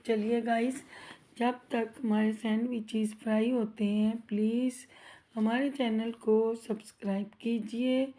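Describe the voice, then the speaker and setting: Woman cooking-vlog host, sore throat, slow measured delivery, fairly high but flat voice, chatty with viewers. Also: low-quality recording. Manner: chatty, addresses viewers as guys, asks for subscribes. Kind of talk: casual cooking vlog narration. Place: home kitchen.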